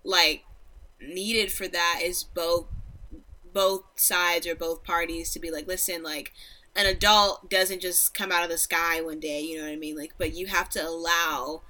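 A young woman of Nigerian background co-hosting a podcast, speaking casually and thoughtfully in a deep voice. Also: unhurried. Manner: reflective, animated laughter. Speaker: podcast co-host. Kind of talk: casual chat.

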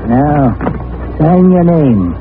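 Menacing American homesteader, folksy rural villain, swooping intonation, radio crime drama, tinny scratchy 1940s recording. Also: male voice, fairly high pitch, sing-song, extremely slow, full character voice. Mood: cold, menacing. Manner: folksy rural speech turning threatening. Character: menacing, greedy, cold-blooded homesteader villain, adult man.

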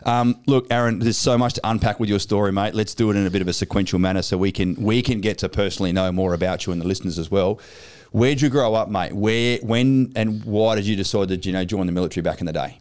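A man's voice: fairly low pitch, steady tone, fast talker, few pauses, darkish tone, clear, resonant tone. An Australian man hosting a podcast in an upbeat, blokey way, swearing casually as he chats. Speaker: podcast host.

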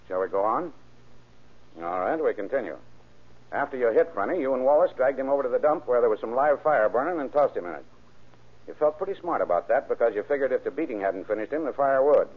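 Man acting a brisk, sardonic police interrogator. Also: mid-range voice, expressive, close to an everyday voice. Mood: smug, firm. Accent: American. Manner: sarcastic, pressing. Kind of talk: 1930s radio drama acting. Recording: muffled, band-limited old radio recording.

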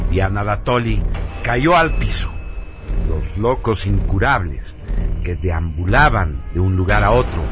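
A man narrating a radio drama from a script in a solemn, deliberate, theatrical way. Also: mid-range voice.

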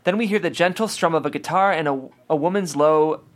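A man's voice: a little high, brisk. A man reading stage directions as scripted narration at a table read.

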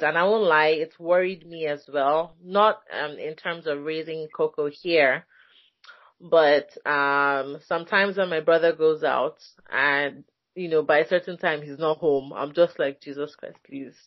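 A woman's voice: sits low, dry recording, lo-fi sound. A woman hosting a casual conversation, chatty and hesitant, with many fillers as she talks. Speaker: podcast host.

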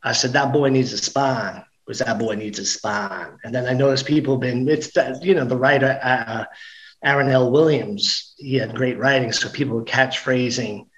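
A middle-aged man in casual podcast conversation, mid-pitched and ordinary in tone. He sounds upbeat and chatty and keeps doubling back to restart his sentences. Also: normal speed, fairly bright tone, highs cut off. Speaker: guest actor and comedian.

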